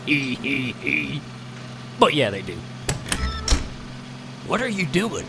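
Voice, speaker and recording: Audio drama: kindly blue-collar male driver, gravelly, folksy, lively pitch, vehicle noise behind. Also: takes it slowly. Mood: chatty, wry. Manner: folksy, drawling slang. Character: folksy, kindly working-class male corpse-collecting truck driver.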